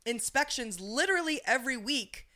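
A woman co-hosting a podcast, talking about politics in an animated, profane way, often restarting her sentences. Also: high voice, moderate pace. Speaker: podcast co-host. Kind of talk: casual political chat.

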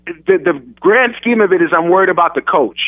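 A man's voice: high-pitched, lively intonation, fairly quick, no room sound. Radio guest, man, light New York accent, rambling and restarting, tinny phone-like sound. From born in London, raised in Queens, New York. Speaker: guest rapper. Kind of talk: casual radio interview.

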